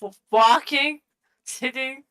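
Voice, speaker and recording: Female tabletop player, crisp and forward high voice, casual improvised banter. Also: takes it slowly, fairly bright tone. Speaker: player in a tabletop role-playing game.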